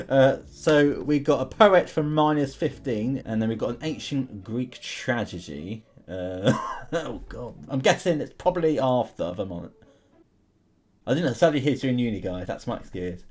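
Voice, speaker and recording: A young man giving casual, chatty gameplay commentary, informally thinking aloud as he goes. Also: mid-range voice. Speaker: gaming video host. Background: music behind the voice.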